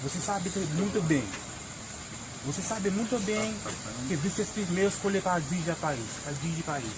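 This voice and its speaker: A man's voice: sits high, fairly quick. A man insistently repeating himself as he confronts someone in an outdoor crowd. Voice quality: insistent, repetitive. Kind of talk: agitated public outburst. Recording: crowded outdoor scene with clear noise.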